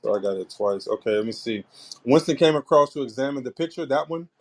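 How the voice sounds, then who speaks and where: A man's voice: mid-range voice, animated. Loose, joking podcast host, a man. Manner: reads passages aloud haltingly. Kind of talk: casual chat.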